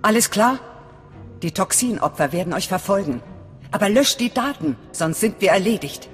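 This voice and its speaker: A woman's voice: mid-range voice, animated, normal speed, big-room sound, soft background music, natural voice. An actress playing a hard, suspicious female resistance leader in a video game, curt and commanding. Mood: commanding, grim. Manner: stern, clipped, commanding. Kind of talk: dramatic video game acting.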